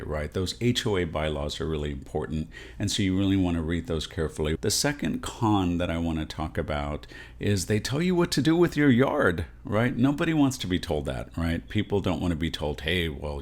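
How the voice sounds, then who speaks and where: American male realtor host, very low voice, explanatory direct-to-camera advice delivery with frequent check-ins. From San Antonio, Texas, USA. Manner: explanatory, often checks in with "right?".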